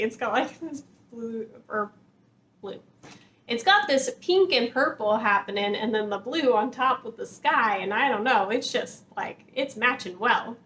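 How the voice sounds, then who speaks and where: High-voiced casual woman chatting about books. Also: a bit bright. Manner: informal, filler-heavy, conversational. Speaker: book vlogger. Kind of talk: casual chatty book talk to viewers. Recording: clear background noise.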